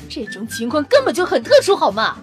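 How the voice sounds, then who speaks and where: A woman's voice: high voice, huge pitch swings, brisk, soft background music. A woman playing a brash, fiery, outspoken female vampire scientist in an audio drama, with an emphatic, informal manner. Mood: indignant, boastful. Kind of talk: Chinese audio drama acting.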